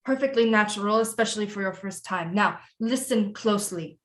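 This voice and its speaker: A woman acting as a commanding, scheming Renaissance queen mother in an audio drama, with formal, deliberate delivery. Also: mid-range voice, natural voice. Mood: commanding, instructing. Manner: imperious, formal, deliberate diction.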